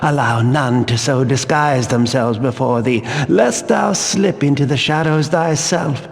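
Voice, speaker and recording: An actor playing a stern, pious zealot guard in a fantasy video game, speaking in solemn, archaic, preachy diction. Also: male voice, medium pitch, unhurried, creaky. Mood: scolding. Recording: long hall-like reverb.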